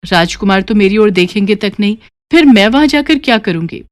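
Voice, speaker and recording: A shy, humble young girl from an animated moral tale doubts herself in soft, timid words, voiced by a woman. Her medium-pitched voice moves in lively, expressive curves. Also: fairly quick, close to an everyday voice. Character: shy, humble young female tailor's daughter.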